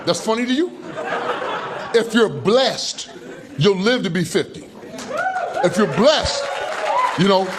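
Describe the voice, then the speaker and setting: Man in his 50s, stand-up comic, handheld mic on a club stage, loud and animated, live audience laughter and room noise. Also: sits high, slow, pauses often, a crowd in the background. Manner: loud, animated, slangy storytelling with heavy gestures. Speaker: stand-up comedian. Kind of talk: stand-up comedy routine.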